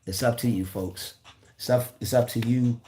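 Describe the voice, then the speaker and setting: A man with a raspy voice giving a casual, preachy motivational talk, repeating his points. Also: mid-range voice, fairly even intonation, normal speed, warm tone. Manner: repeats phrases, preachy and earnest. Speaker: motivational vlogger.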